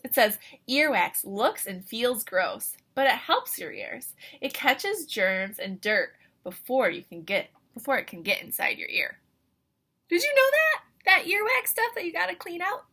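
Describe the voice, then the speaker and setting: A woman reading a picture book aloud to young children like an excited teacher, with animated wonder, questions and asides in a breathy voice. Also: a little high, unhurried.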